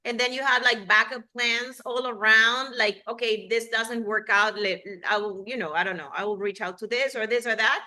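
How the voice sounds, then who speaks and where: A woman's voice: on the high side, fairly even intonation, moderate pace, hardly any pauses, pushed and bright. A woman interviewer who asks long, winding questions with restarts.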